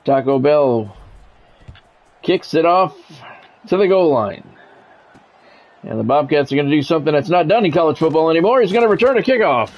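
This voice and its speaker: A man's voice: a little high, animated, normal speed, pauses often, highs cut off. A middle-aged man giving live play-by-play of a football video game, mixed with offhand, conversational chat and casual swearing.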